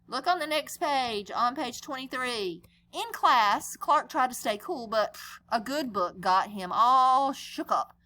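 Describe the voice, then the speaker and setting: A woman's voice: sits high, lively intonation, a little slow, sharp tone, slight background noise, low-quality recording. A woman reading a picture book aloud as a teacher would, chatting conversationally to young listeners with questions and explanations. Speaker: read-aloud storyteller and teacher.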